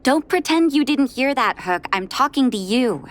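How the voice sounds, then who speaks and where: An actress playing a stern but caring woman doctor in a video game, measured and gently scolding. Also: high-pitched, lively intonation. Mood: stern, weary.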